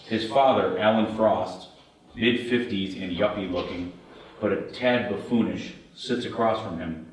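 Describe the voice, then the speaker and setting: A man's voice: fairly low pitch, measured pace, a little hiss or hum. A man reading scene descriptions as scripted narration at a table read. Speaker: narrator reading stage directions. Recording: very echoey room, far from mic.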